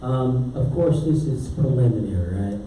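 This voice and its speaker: A man's voice: mid-range voice, expressive, normal speed, mellow, slight background noise. A man representing a development project, answering residents at a public meeting in a courteous, reassuring way. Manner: courteous and reassuring, "yes, ma'am". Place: large community meeting hall. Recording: echoey hall, mic far off.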